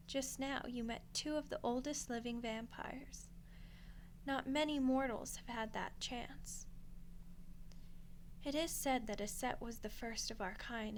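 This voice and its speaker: A woman playing a poised, aristocratic vampire in an ASMR roleplay, with formal and courteous speech. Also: fairly high pitch. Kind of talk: ASMR audio roleplay acting. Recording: clearly noisy, ambient background.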